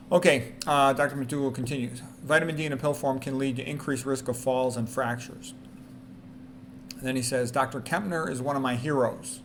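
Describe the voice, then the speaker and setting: Male presenter, mid-pitched, reads quotes and adds informal, emphatic asides; some background noise. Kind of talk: reading quotes aloud with informal commentary.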